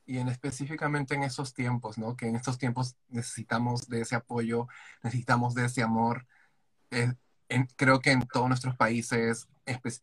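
A man speaking with passion against hate and stigma, repeating words for emphasis. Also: mid-range voice. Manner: impassioned, repetitive emphasis. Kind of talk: casual panel conversation.

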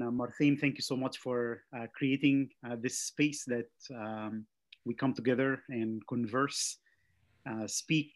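A man speaks as a guest at a church service, measured and thoughtful. He pauses often with "uh" and "um" as he finds his words. His pitch sits in an ordinary middle range. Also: poor audio. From Egypt. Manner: measured, many "uh" and "um" hesitations. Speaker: seminary professor and former pastor, guest.

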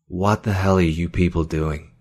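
Man acting an angry householder, low husky voice. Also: fairly even intonation, normal speed, darkish tone. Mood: angry, demanding. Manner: blunt, demanding. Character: angry, defiant male householder confronting intruders.

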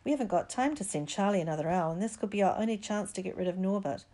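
A woman's voice: mid-range voice, animated, quick pace, warm tone, natural voice. A woman reading a story, voicing a practical, determined young boy. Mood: resolute.

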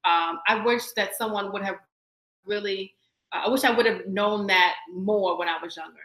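Woman, podcast co-host, casual and reflective, bright tone, echoey room. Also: medium pitch, normal speed. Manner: chatty and reflective, laughs easily. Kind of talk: casual live-stream chat.

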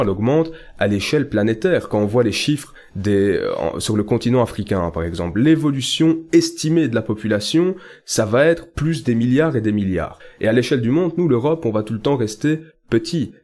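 A young Belgian man hosting a political podcast, speaking earnestly and stressing his key points. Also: dark tone. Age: young adult. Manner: earnest, emphatic, rises in pitch on key points. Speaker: podcast host.

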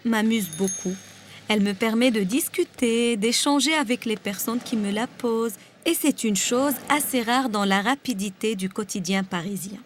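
A woman's voice: fairly high pitch, a little hiss or hum. A woman from Lebanon, a native Arabic speaker with a Lebanese accent and a rolled R, reading a personal essay aloud. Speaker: guest reader.

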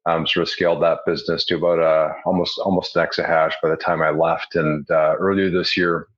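A man speaks as a guest on a finance panel in a deep, somewhat rough voice. His delivery is level, and the recording is dull and lo-fi. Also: little pitch movement, brisk. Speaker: guest, fund manager. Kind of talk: conversational self-introduction on a panel. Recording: dull, lo-fi sound.

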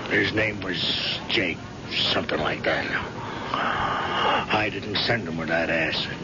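An actor playing a bitter, gruff retired gangster confined to an iron lung in an old-time radio drama, talking in defensive tough-guy slang. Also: low-pitched, slow pace. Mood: defensive, insistent. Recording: muffled, very noisy vintage radio recording.

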